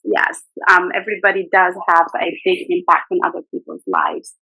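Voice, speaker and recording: A woman's voice: fairly low pitch, sing-song, moderate pace, pushed and bright, dry recording, soft background music, poor audio. A middle-aged woman from Morocco, a native Arabic speaker, speaking earnestly as a guest teacher on a video call webinar, with frequent fillers and restarts. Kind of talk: webinar interview.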